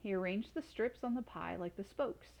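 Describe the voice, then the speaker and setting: Mellow-voiced woman reading a storybook. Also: mid-range voice, normal speed, gravelly. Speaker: storytime reader. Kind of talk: reading a children's storybook aloud.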